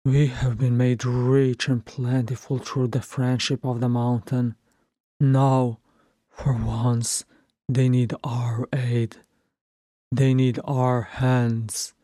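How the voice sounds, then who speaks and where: A weary old king of a storybook realm, acted by a narrator, stern and commanding. He delivers formal, grave speech slowly and deliberately. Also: medium pitch, level tone, dark tone, dry recording, studio quality, lightly acted. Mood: grave, resolute.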